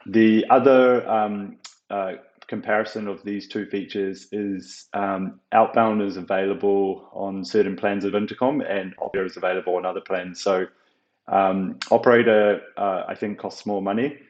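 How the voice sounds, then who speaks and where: Low-voiced man in an echoey room. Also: steady tone, darkish tone. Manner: hesitant, halting explanation with frequent ums and uhs. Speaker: software tutorial presenter. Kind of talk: unscripted screen-share walkthrough. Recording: roomy, echoing, slightly dull sound.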